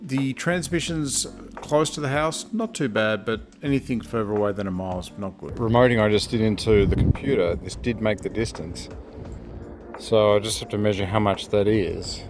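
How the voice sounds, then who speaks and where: A man's voice: fairly low pitch, normal speed, over music. A man giving a casual hands-on product review in a plain-spoken, matter-of-fact way.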